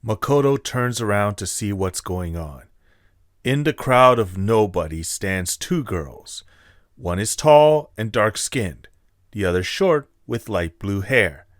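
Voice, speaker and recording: A man's voice: fairly low pitch, lively intonation, slow. A man narrating a scripted fan audio drama.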